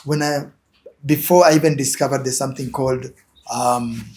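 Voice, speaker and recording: A young man with a Kenyan accent sits as an interview guest and talks at an ordinary middle pitch. He hesitates a lot, restarting phrases and filling gaps with "uh" and "um". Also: steady tone, normal speed, darkish tone, slight background noise. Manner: hesitant, many fillers and repeated words. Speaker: guest, image consultant. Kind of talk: interview answers.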